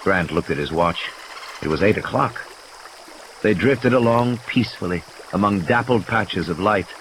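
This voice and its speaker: A man's voice: sits low, animated, moderate pace, background noise. A man reading scripted dramatic story narration as an audiobook narrator.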